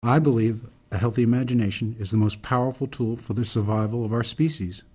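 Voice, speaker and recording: A man acting in a radio drama as an earnest older reformer, delivering a deliberate, solemn, speechifying monologue. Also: medium pitch, fairly even intonation, dark tone, husky, close to an everyday voice. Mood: grave, urgent. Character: earnest older male reformer laying out a grave case.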